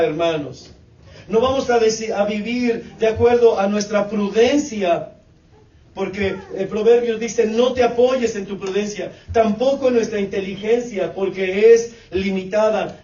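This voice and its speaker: Preacher, man in his late 40s, high voice, repetitive cadence; distant, dull recording. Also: darkish tone, roomy sound. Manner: repetitive preaching cadence, addresses congregation as brothers. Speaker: pastor. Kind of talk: sermon.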